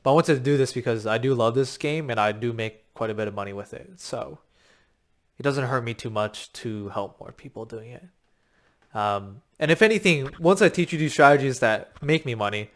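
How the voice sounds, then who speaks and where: A man with a slightly raspy, worn voice, coaching viewers on a crypto game in a rambling, filler-heavy pitch, a little short of breath. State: short of breath from long talking. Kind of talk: casual talking-head explainer.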